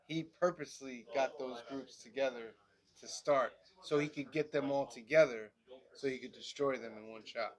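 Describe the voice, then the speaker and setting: A man co-hosting a casual review chat, with a stuffy, nasal voice from allergies and a relaxed, chatty manner full of "um"s. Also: mid-range voice, normal speed. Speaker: podcast co-host.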